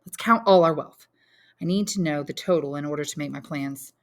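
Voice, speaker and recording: A woman's voice: deep voice, brisk. A woman voicing an earnest, resolute young man who firmly reassures his mother in an audiobook. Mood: eager, upbeat. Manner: firm, reassuring, insistent. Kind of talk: audiobook character dialogue.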